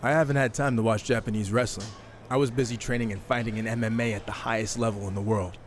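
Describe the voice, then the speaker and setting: A man's voice: lowish voice, steady tone, fast talker, a little hiss or hum, natural voice. A man playing a confident, wry American fighter turned rookie wrestler in a video game cutscene, with a dry manner. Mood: defiant. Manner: self-assured, dry.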